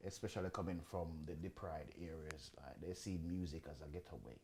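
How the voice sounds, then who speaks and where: A man hosting a podcast interview, asking short, measured questions. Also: very deep voice, darkish tone, slight background noise. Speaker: podcast host.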